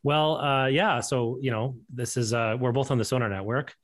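A man's voice: medium pitch, moderate pace. A man in his thirties from Alberta, Canada, a guest on a video call, telling stories in a loose, laughing way full of fillers. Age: 30s. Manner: animated, laughing storyteller with many fillers. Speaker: guest, improviser and podcast host. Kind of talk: casual chat on a video call.

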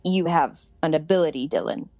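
A woman playing a solemn, literal-minded spiritual client in an audio drama, speaking formally and earnestly with deliberate pauses. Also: low-pitched, dry recording, dull audio, soft background music, close to an everyday voice.

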